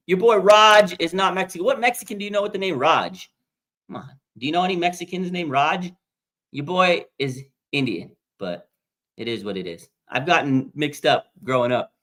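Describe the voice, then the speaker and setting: An American man of about forty from Las Vegas, hosting a casual live stream and reading out fan comments in an excitable way. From Las Vegas, Nevada, USA. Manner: excitable, with sudden pitch jumps. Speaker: livestream host.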